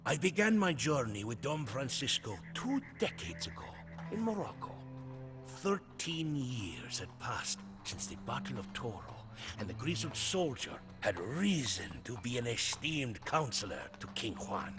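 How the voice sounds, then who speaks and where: A man's voice: mid-range voice, big pitch swings, unhurried. An actor playing a loyal soldier who solemnly and theatrically recounts his captain's campaigns as the storybook narrator of a game. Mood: reflective, solemn. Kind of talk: dramatic storybook cutscene narration. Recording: studio narration under loud music.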